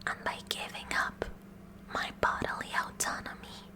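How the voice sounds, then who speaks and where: Woman whispering as a kooky science club girl. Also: natural voice. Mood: officious, eager. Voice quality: breathy, unvoiced whisper. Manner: slow, deliberate, mock-official with awkward stammers. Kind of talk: whispered ASMR roleplay.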